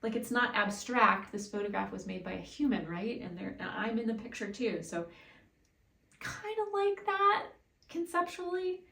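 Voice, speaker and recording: A woman's voice: mid-range voice, fairly quick, creaky, few pauses, bright, forceful tone. A woman raised in Japan talks casually as a photographer in her studio, musing aloud in an informal, chatty vlog style. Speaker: photographer presenting a studio video. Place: indoor studio. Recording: roomy, distant mic, music behind.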